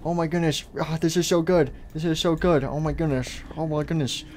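Man playing an excitable, chatty little boy. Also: a little high, brisk, slight background noise, close to an everyday voice. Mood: excited. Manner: hesitant muttering that jumps to exclamations. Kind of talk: improvised play-acting story.

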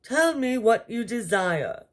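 A helpful, bustling fairy godmother from a children's storybook, put on by a woman. The voice is pitched up and husky, with theatrical, exclamatory phrasing. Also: big pitch swings, slow, exaggerated voice. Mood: gracious.

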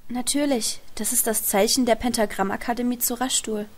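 A woman's voice: on the high side, fairly even intonation, fairly quick, close to an everyday voice. A woman playing a scholarly, careful female translator in a fantasy audio drama, explaining and reading aloud. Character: scholarly, hesitant female translator of elven writings.

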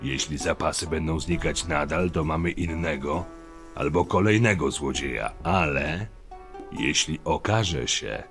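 A man's voice: a bass voice, measured pace, a bit bright, faint music behind, full character voice. A man playing a stern, loyal, level-headed chief of camp guards in a fantasy audio drama, speaking firmly and matter-of-factly. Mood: businesslike. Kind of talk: acted dialogue, fan audio drama.

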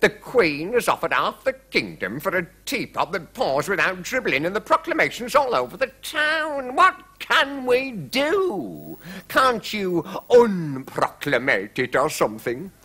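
A flustered storybook king, as a male narrator puts him on, forever exclaiming and complaining. The delivery is forceful and swings widely in pitch, full of dithering exasperation. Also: very animated, unhurried, breathy edge, full character voice. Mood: panicked. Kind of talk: children's storybook character reading.

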